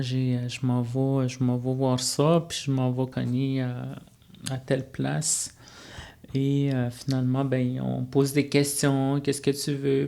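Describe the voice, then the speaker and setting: Une femme de langue maternelle atikamekw, à l'québécois, invitée d'une entrevue, qui parle de façon réfléchie avec beaucoup d'hésitations. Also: female voice, very deep voice, creaky, dark tone. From Quebec. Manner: reflective, frequent fillers and restarts. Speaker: guest, student life facilitator. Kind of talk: conversational podcast interview.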